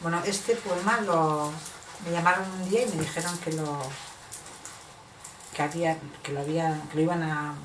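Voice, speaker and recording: A woman's voice: low voice, steady tone, normal speed. A woman presenting and reading her poetry at a bookshop event, hesitant and searching for words. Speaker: poet presenting and reading own work. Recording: echoey room, distant noisy mic.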